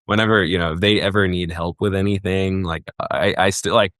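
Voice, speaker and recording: A young man from Egypt with a very low voice talks casually on a podcast, circling his thoughts with lots of fillers and restarts. The sound is dry and close, with no room around it. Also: steady tone, brisk, a bit bright, dry recording. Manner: rambling, many fillers and restarts. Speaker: guest, festival booker.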